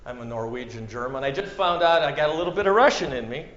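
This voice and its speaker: A man from central Minnesota with a Minnesota accent, preaching a sermon with dramatic swings from loud to hushed. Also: medium pitch, expressive, fast. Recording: echoey room, muffled sound.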